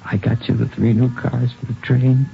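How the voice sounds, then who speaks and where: An actor playing a grieving, quietly determined father in a 1950s radio drama, halting and plaintive in his delivery. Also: mid-range voice, flat delivery, vocal fry, slight background noise, lightly acted. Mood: grief-stricken pleading. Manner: halting, plaintive, speaking simply to a child. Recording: muffled old radio recording, faint music.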